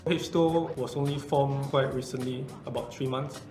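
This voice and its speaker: A man's voice: medium pitch, steady tone, normal speed, dark tone, echoey room, soft background music. A man in his twenties, an esports player, giving brief matter-of-fact answers. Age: 20s. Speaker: esports fragger.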